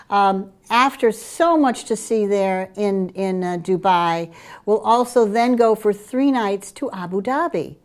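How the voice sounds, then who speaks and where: A middle-aged woman from Curaçao with a Dutch Caribbean accent, presenting group trips in an eager sales pitch. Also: darkish tone. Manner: enthusiastic sales pitch with fillers. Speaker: travel program presenter. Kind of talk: promotional chat about group tours.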